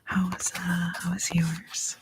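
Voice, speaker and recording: Woman ASMR streamer: soft whisper, unhurried, lowish voice, playful chat close to the mic. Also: little pitch movement, a little hiss or hum. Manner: playful, chatty, repeats soft sounds.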